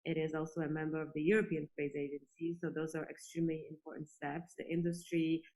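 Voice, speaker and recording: Low-voiced woman from Poland. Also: little pitch movement, fast talker, warm tone, rough recording. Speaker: interview guest, space industry executive. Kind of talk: conversational interview answers.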